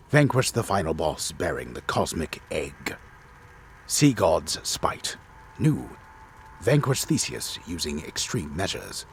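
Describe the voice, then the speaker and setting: A man voicing a grave, disembodied game storyteller in a solemn, deliberate, theatrical reading. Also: deep voice, a little hiss or hum, exaggerated voice. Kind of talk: dramatic reading of game patch notes.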